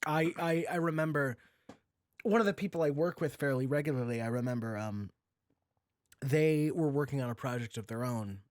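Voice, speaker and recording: A man chatting casually on a podcast, opinionated and animated, with a sweary, informal way of talking. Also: mid-range voice, normal speed, no room sound. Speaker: audio drama writer, podcast speaker.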